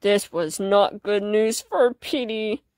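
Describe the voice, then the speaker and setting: Slow, expressive woman reading a storybook. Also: medium pitch, no echo. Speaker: storybook reader. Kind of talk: expressive children's read-aloud narration.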